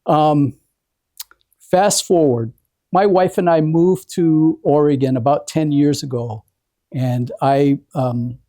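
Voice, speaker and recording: Halting older American man, mellow voice. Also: a little high, fairly even intonation. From Hawaii, United States. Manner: halting, hesitant, repeats and restarts words. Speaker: artist presenting an award ceremony. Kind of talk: informal prepared speech to an audience.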